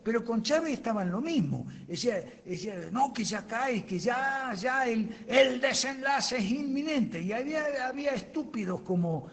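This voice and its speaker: A man's voice: very high voice, animated, moderate pace, few pauses. A man teaching an online class in a heated, emphatic, digressive way over a voice-chat app. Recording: voice chat with long reverb.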